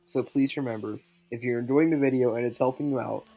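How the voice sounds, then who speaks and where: A man's voice: medium pitch, fairly even intonation, fast, gravelly, mellow, faint music behind. A young man giving casual commentary for a video game guide. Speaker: gaming video creator.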